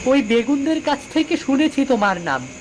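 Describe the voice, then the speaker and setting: A woman's voice: sits high, very animated, moderate pace, noisy background. A woman voicing a brave little boy in a cartoon, speaking in an earnest, imploring way. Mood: earnest, pleading. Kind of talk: cartoon voice acting.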